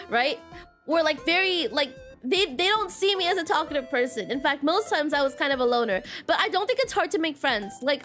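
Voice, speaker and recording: High-voiced giggly woman streamer. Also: fairly bright tone. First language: Mandarin. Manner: giggly, rambling, many fillers. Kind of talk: casual chat with viewers.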